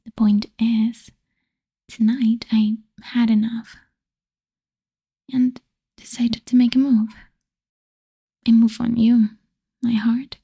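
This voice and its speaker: A woman playing an obsessive, lovestruck female vampire in a whispered ASMR roleplay, breathy, coaxing and possessive, seductive with quiet menace. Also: fairly high pitch, heavily acted. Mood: jealous, sweet menace.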